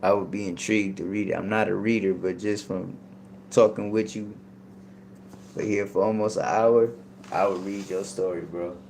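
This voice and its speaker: A man hosting a casual chat with a deep, gravelly voice. He is easygoing and enthusiastic, peppering his talk with slang. Faint hiss runs behind him. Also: steady tone, moderate pace, darkish tone. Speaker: podcast host.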